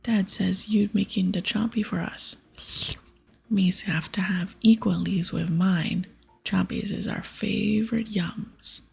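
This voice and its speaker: A gruff, food-obsessed game ogre, performed by a woman, speaks in simple broken grammar. The voice is a breathy, gravelly whisper, demanding and childlike, with soft music underneath. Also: medium pitch, steady tone, takes it slowly, full character voice. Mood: eager.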